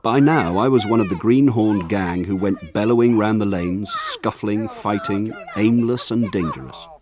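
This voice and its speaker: An actor playing a grown man who narrates his rough village boyhood in a measured, wry radio drama style. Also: on the low side, wildly swooping pitch, crowd noise. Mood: wry, nostalgic. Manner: measured, wry, lyrical phrasing. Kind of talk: scripted radio drama narration, literary storytelling.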